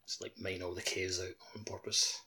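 A man's voice: sits low, little pitch movement, normal speed, creaky, bright, forceful tone, slightly rough. A man chatting casually as a co-host on a gaming podcast.

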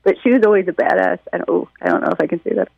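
An American woman from Detroit, a musician, answering interview questions in a casual, animated storytelling way with a creaky voice. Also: on the low side, rapid-fire, no room sound, narrow, tinny audio. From Detroit, United States. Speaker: musician and songwriter being interviewed.

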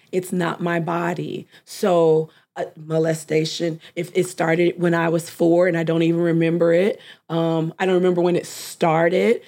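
A woman's voice: fairly low pitch, gravelly, darkish tone. A woman therapist co-hosting a casual discussion, reflective, who often speaks in a client's voice to make a point. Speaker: therapist and co-host.

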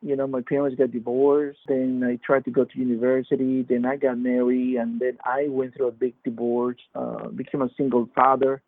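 Male guest, halting casual testimony, tinny dry phone-line sound. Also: mid-range voice, flat delivery, dry recording. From Peru. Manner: halting, many fillers and restarts. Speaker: podcast guest.

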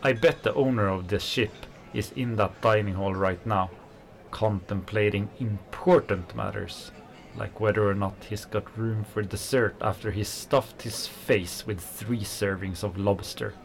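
An actor playing an angry working-class kitchen worker, a fiery socialist who talks in heated, scornful bursts. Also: male voice, on the low side, fairly even intonation, unhurried, creaky. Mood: bitter. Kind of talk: radio play acting.

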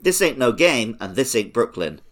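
A man's voice: mid-range voice, sing-song, normal speed. A man casually hosting a comedy quiz podcast, chatting and reading out questions.

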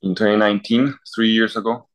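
A man's voice: lowish voice, fairly even intonation, a little fast. A man from Peru, a university chaplain priest answering interview questions in a thoughtful, example-filled way. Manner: thoughtful, listing examples, clears throat.

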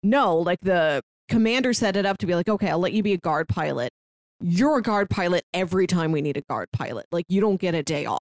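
A woman's voice: lowish voice, lively intonation, a little fast, dry recording. A woman co-hosting a podcast in a casual discussion, articulate and given to acting out quotes to make her points. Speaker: podcast co-host. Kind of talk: casual book discussion chat.

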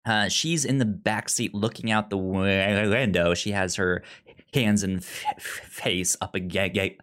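A man with a pronounced stutter, speaking in a halting but enthusiastic way as a podcast co-host casually discussing films. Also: low-pitched, steady tone, a bit bright. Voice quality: pronounced stutter, repeating sounds and words.

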